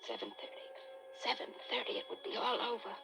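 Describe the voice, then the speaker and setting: An actress playing a jealous, high-strung wife in a vintage radio suspense melodrama, formal and imperious. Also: female voice, fairly even intonation, fast talker, vocal fry, music in the background. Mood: dazed, haunted. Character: haughty, overwrought, jealous wife in her thirties. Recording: vintage radio drama recording.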